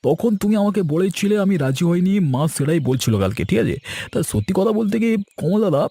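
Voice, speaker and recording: Man voicing an eager, high-pitched young villager. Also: big pitch swings, brisk, mellow, breathy edge, dry recording, exaggerated voice. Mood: earnest. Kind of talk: cartoon dialogue acting.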